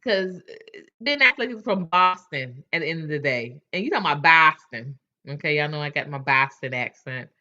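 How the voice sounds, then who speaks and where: A woman's voice: low voice, animated, moderate pace, sharp tone, highs cut off. A woman hosting a casual chat, candid and chatty, often restarting her sentences. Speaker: host.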